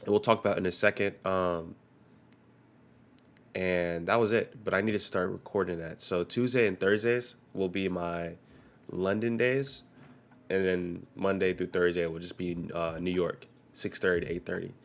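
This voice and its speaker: A man's voice: low voice, fairly even intonation, moderate pace, gravelly. A young man streaming live forex trading, talking casually while getting over a cold and now and then coughing. He goes through the day's economic news and reads headlines aloud in a loose, conversational way.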